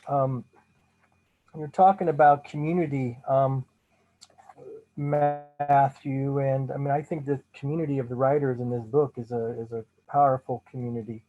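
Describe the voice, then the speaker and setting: A man's voice: medium pitch, fairly even intonation, moderate pace, faint music behind. A man, a guest poet, speaking hesitantly with many fillers before reading over a video call. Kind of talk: casual remarks and poetry reading. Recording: dull lo-fi video call audio.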